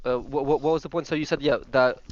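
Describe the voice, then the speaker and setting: A man's voice: mid-range voice, steady tone, fast talker. Insistent man, polite but firm. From Russia. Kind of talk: online debate. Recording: dull voice chat audio.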